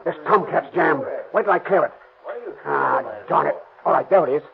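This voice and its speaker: A man's voice: on the high side, normal speed, gravelly, crowd noise. An actor playing a ruthless, bossy gangster in 1930s radio crime acting, talking American tough-guy slang. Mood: urgent, bossy. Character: ruthless, cocky gangster planning and bossing a robbery. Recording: scratchy, noisy, narrow-band 1930s radio.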